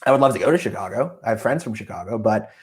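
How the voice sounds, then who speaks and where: A man's voice: fairly low pitch, expressive, racing. An American man hosting a solo podcast, talking casually about travel with many fillers, restarts and self-corrections. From United States. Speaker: podcast host.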